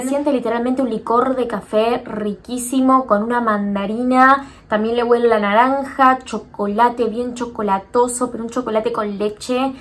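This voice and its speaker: A woman in her twenties with an Argentine accent, chatting casually and effusively to a phone camera as a vlogger. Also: on the high side. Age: 20s. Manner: effusive, gushing. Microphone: phone at arm's length.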